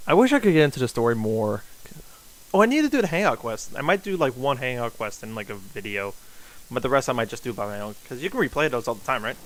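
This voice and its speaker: A man's voice: medium pitch, very animated, fast talker, low-quality recording. A man doing casual live game commentary as a streamer, rambling and thinking aloud.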